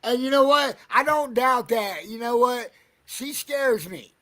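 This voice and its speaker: A man hosts a loose, profane podcast chat and laughs easily. His voice sits high and he takes his time with each phrase. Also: a little slow, pushed and bright. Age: 40s. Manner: loose, swearing, laughs often. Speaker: podcast host.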